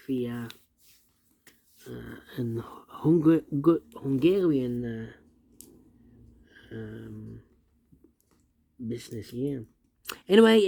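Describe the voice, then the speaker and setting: Halting male puzzle instructor, gravelly voice. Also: medium pitch, warm tone. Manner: halting, repeats words and phrases. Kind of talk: step-by-step spoken tutorial, unscripted.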